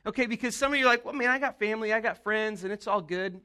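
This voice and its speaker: A male pastor giving a casual, animated sermon with quick asides. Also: high-pitched, smooth.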